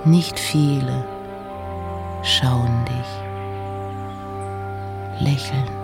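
An actress playing an introspective nineteenth-century woman poet in a radio play, reciting in a breathy, hushed, deliberate way with pauses between short phrases. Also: rumbling low, full character voice. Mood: dreamy, tender. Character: Emily Dickinson, introspective 19th-century American woman poet. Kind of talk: radio play, poetic recitation of poems and letters.

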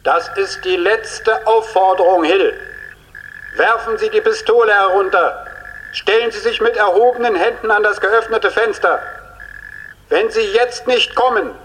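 An actor playing a stern police officer calling out formal, commanding surrender orders in a radio crime drama. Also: male voice, high-pitched, a little slow, fairly bright tone. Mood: stern ultimatum. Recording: long echo, outdoor hail, hissy.

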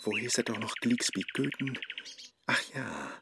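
An actor playing a hapless, lovestruck Faust in a comic rhymed radio play, with a Low German accent and theatrical asides. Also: male voice, big pitch swings, unhurried, noisy background. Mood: muttering, musing. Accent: Low German, northern Germany. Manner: theatrical, exclaiming, muttering asides. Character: lovestruck, hapless modern Faust chasing a young woman.

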